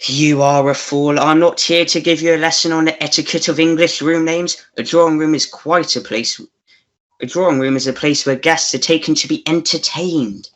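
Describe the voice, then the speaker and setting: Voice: medium pitch, fairly even intonation, normal speed, a bit bright, lightly acted. An actor voicing a pompous, impatient male scoundrel in an amateur melodrama radio play. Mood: exasperated. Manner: pompous, scolding. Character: scheming scoundrel man, pompous, impatient, bossy villain.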